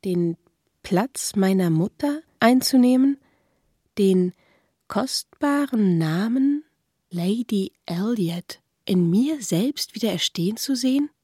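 Reflective young gentlewoman in period radio drama: soft dark tone, husky, intimate, very close and dry. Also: mid-range voice, animated, slow, dry recording. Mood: yearning. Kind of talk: literary radio play acting.

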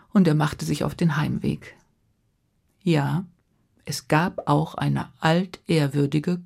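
A woman storyteller freely narrating a fairy tale, with dramatic pauses, repetition and hushed drops for suspense. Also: low-pitched, creaky, slightly rough.

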